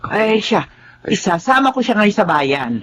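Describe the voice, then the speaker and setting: A woman's voice: medium pitch, big pitch swings, unhurried, fairly bright tone. A woman with a Filipino accent acting a kindly middle-aged aunt in a radio drama, motherly and reassuring.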